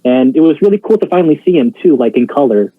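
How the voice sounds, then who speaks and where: A man's voice: a little high, expressive, fast talker, no echo. Guy chatting, lots of um and like, tinny phone-ish sound, dry. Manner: hesitant, many fillers and restarts. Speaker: co-host reacting to a show. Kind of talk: casual chat.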